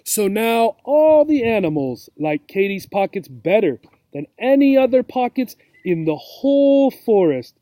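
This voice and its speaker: A man reading a children's storybook aloud as a teacher would, with simple, deliberate phrasing and asides to young listeners. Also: sits high, big pitch swings, dark tone, no room sound.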